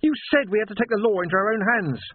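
A man's voice: sits high, animated, unhurried, slightly rough, no echo, pitched above the performer's own voice, exaggerated voice. A man voicing a distraught young male lance constable who is crying and stammering. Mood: furious. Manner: pleading, breaking voice, stammering. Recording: dry, tinny phone-like sound.

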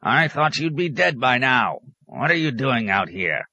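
A man's voice: medium pitch, big pitch swings, measured pace, sharp tone, husky, dry recording, lo-fi sound, voice pushed up, full character voice. A man voicing a cranky, ancient desert hermit in an audiobook, grumbling and indignant, with crusty, archaic scriptural turns of phrase. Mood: cross, grumbling.

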